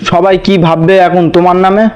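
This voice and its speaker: A man voicing a mild young newlywed husband who gently admonishes, in a Bengali cartoon. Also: sits high, little pitch movement, fast talker, smooth, close to an everyday voice. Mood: gently reproving. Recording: dull lo-fi audio with music.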